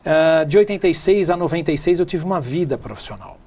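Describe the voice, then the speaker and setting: A man's voice: a little high, lively intonation, quick pace, mellow. A middle-aged man with a São Paulo Brazilian accent, telling his story conversationally as an interview guest. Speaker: interview guest, tech speaker and businessman.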